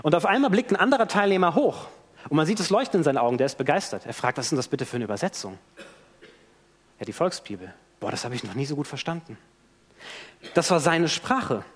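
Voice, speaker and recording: Lively high-voiced man preaching in a reverberant hall. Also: fast, slightly rough. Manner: animated storytelling with acted-out quotes. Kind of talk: sermon. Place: church hall. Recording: long church hall reverb.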